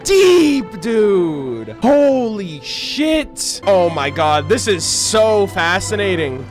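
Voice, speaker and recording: A man doing casual, slangy reaction commentary as a game streamer, expressive and free with swearing. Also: high voice, extremely slow, music in the background.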